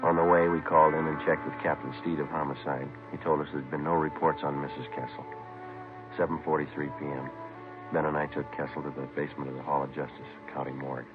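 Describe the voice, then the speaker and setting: Very low, flat-voiced radio police sergeant. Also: male voice, fast talker, narrow, tinny audio. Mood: deadpan. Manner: deadpan, clipped. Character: terse, businesslike male police sergeant narrating his case. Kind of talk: 1950s radio crime drama narration. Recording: band-limited vintage radio recording, music behind.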